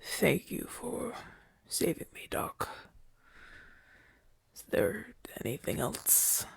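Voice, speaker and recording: A man playing a proud, wounded gladiator champion in an ASMR audio roleplay, speaking in a weary, halting, breathy way with gruff bluster. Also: high voice, sing-song, dry recording, studio quality, full character voice. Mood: dismayed, grateful. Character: wounded male gladiator champion, brash, proud, trusting his doctor.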